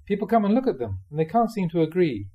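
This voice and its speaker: A man reading Buddhist teachings aloud like a monk giving a dharma talk, with measured, emphatic phrasing. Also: high-pitched, sing-song, phone-quality sound.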